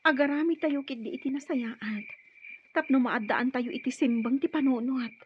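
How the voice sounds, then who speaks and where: A woman's voice: high voice, moderate pace, close to an everyday voice. A woman playing an earnest daughter who reasons with her mother in an Ilocano-accented radio drama. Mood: pleading. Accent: Filipino, Ilocano. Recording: muffled, faintly noisy radio drama audio.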